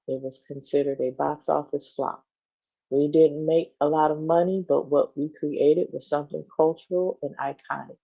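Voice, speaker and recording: A woman with a gravelly, fry-edged voice reads a magazine interview aloud as a show co-host. Her delivery is informal, like reading to a friend. The sound is somewhat dull and lo-fi. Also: sits low. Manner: casual, informal asides breaking up the reading. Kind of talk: read-aloud of a magazine interview with casual asides.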